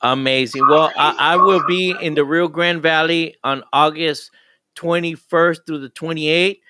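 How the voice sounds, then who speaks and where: A man hosting a radio talk show and interviewing a guest, speaking in a halting way with many restarts. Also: on the high side, steady tone, heavy background noise. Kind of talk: casual interview.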